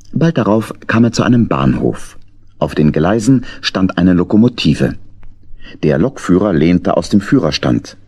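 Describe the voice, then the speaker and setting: A man's voice: deep voice, a little mellow, slightly rough. A man narrating a scripted children's story as the narrator of an audio play.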